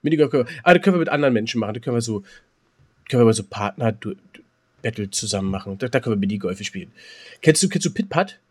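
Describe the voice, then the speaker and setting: A man's voice: medium pitch, lively intonation, very fast, breathy edge. A man co-hosting a podcast in casual banter, chatty and slangy, hopping between half-finished sentences. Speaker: podcast co-host.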